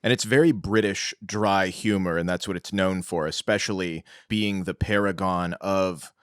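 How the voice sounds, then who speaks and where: Lowish-voiced young American man, dry sound. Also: fairly even intonation, normal speed, fairly bright tone, smooth, no room sound. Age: young adult. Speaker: podcast co-host. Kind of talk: casual explanatory chat.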